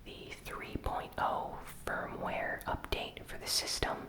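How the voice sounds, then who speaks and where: The voice is a soft, breath-only whisper from start to finish. This ASMR host recaps gaming news at a slow, deliberate pace. Short phrases are set apart by small pauses.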